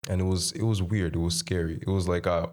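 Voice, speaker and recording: Very deep-voiced young man. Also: level tone, quick pace, darkish tone. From Mozambique. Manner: informal, repeats phrases for emphasis. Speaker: singer, podcast guest. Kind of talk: casual chat.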